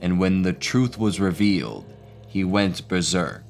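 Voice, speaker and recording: A man's voice: low voice, animated, a little slow, creaky. A man announcing in an ominous, scripted horror introduction style.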